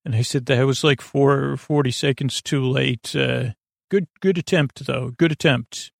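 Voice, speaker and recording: Creaky-voiced man rambling gently, close and dry. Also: medium pitch, unhurried, dry recording. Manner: meandering, hesitant, lulling, self-deprecating. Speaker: podcast host. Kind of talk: rambling, tangent-filled sleep podcast monologue.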